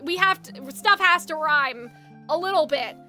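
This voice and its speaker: A woman with a strikingly high, bright voice chats to her livestream viewers. She rambles informally and gives blunt opinions, sounding slightly congested. Music plays behind her. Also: lively intonation, a little slow. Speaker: game livestreamer. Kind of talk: casual livestream chat with viewers.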